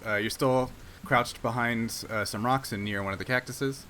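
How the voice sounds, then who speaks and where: A man narrating a tabletop game as game master, in a halting, hesitant manner. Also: medium pitch, steady tone, pushed and bright, slight background noise.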